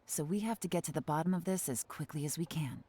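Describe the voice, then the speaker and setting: A woman voicing a shrewd, composed female investigator in a video game, measured and businesslike. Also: deep voice, dark tone, breathy edge, no room sound. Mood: composed, assured.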